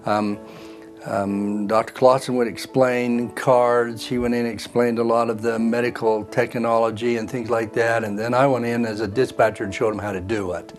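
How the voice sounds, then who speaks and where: A man recalling his past work in a documentary interview. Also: mid-range voice. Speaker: interviewee, former dispatcher and trainer. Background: soft guitar music.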